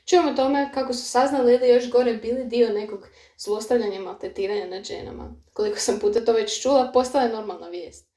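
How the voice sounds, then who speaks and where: A woman's voice: on the high side, quick pace, few pauses, warm tone, roomy sound. A woman narrating a short film in a reflective, brooding voice-over.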